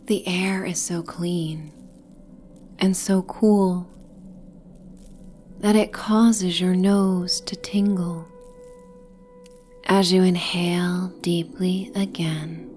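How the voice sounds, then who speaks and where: Very slow, hushed woman narrating sleep meditation. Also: on the low side. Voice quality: soft, breathy, often near a whisper. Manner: gentle, soothing, hypnotic, with long pauses. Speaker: guided meditation narrator and host.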